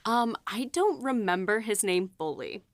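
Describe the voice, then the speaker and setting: A woman's voice: medium pitch, expressive, measured pace, dry recording. A woman writer co-hosting a casual behind-the-scenes talk, rambling with lots of fillers and laughs. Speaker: writer and podcast co-host.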